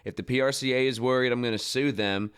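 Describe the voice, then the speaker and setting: An actor playing a proud, blunt young rodeo rider from West Texas who talks in a guarded way. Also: male voice, medium pitch, fairly even intonation, fast, fairly bright tone, clean tone, close to an everyday voice. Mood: irritated, guarded. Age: young adult. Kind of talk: radio play acting.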